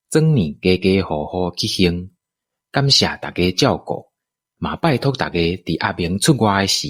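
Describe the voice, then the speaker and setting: Low, animated Taiwanese male storyteller. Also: dry recording, studio quality. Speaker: storyteller, narrator. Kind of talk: scripted narration of a radio drama.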